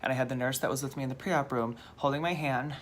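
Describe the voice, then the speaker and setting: A man from Minnesota with a fairly high-pitched, slightly hoarse voice, telling a rambling, self-deprecating story on his vlog. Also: steady tone, fast, a bit bright, slight background noise. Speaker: vlogger.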